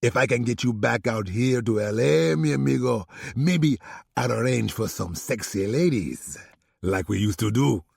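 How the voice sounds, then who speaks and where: A man voicing a raspy, smooth-talking male crime boss with a thick Mexican Spanish accent. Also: medium pitch, lively intonation, measured pace, darkish tone, no echo, clean studio sound, pitched above the performer's own voice, full character voice. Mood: jovial.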